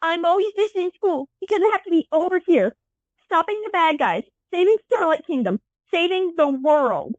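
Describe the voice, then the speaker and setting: Lady doing a kid hero boy, really high voice, emotional, dry slightly dull recording. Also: expressive, moderate pace, no room sound. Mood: hurt, upset. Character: young teen boy hero, earnest and aggrieved. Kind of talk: children's fantasy audio drama acting.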